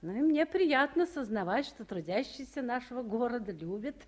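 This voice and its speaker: An actress playing a proud, weary middle-aged town official with gentle irony, in an old Soviet radio play, speaking in measured, pointed phrases. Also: female voice, a little high, expressive. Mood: reserved, dignified. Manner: measured, pointed, with meaningful pauses. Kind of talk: mid-century Soviet radio play acting.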